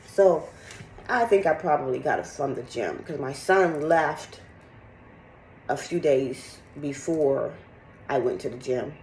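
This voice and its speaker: Middle aged lady vlogging, bit raspy and congested, candid chatty, roomy echo w some faint noise. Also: low-pitched, slow, darkish tone. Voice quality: slightly raspy. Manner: candid, rambling, conversational. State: sick with congested cough. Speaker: fitness vlogger. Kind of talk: casual chat to camera, personal update.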